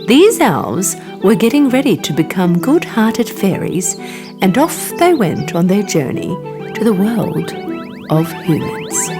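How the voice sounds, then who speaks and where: A woman reading a scripted bedtime story for children in an expressive, animated storytelling manner. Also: mid-range voice, slow. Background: music.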